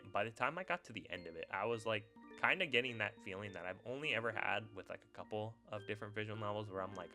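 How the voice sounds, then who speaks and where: A man's voice: fairly low pitch, bright, forceful tone. A man giving casual, unscripted review commentary, thinking aloud in a rambling, hesitant way. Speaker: YouTuber reviewing visual novels.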